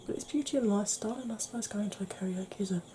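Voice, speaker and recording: A woman from the UK, a beauty vlogger talking through a makeup tutorial in a quiet voice that keeps slipping into whispers. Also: mid-range voice, very fast, a little hiss or hum. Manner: quiet, soft-spoken, drifting into whispers.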